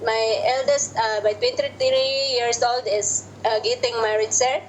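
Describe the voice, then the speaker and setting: A woman's voice: a little high, fairly even intonation, unhurried, a little hiss or hum, rough recording. A woman in her forties with a Filipino accent, answering job interview questions politely and hesitantly, adding "sir" to nearly every reply. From Philippines. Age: 40s. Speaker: job applicant.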